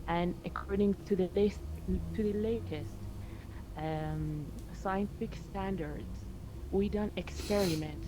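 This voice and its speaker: A woman from Iraq, a native Arabic speaker, explains her ideas earnestly in slightly halting English. Her voice sits at a middle pitch. Clear background noise runs under her speech. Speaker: student, participant.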